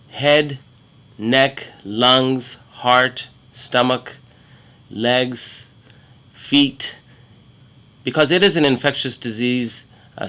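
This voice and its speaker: A man from Kenya, a middle-aged infectious disease doctor, giving a measured, earnest talk about his work. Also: medium pitch, pushed and bright. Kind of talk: prepared career talk to camera.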